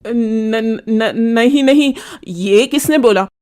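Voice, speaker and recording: A woman's voice: fairly high pitch, drawn-out words, darkish tone. A woman voicing a cowardly, dishonest male shopkeeper in a children's cartoon story, stammering and frightened. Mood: nervous. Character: cowardly, lying male sweet seller.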